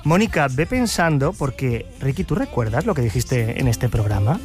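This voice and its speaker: A man from hosts an interview show. He asks his guests quick, upbeat questions. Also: medium pitch, sing-song. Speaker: radio host. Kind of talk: hosting an interview. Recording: faint background music.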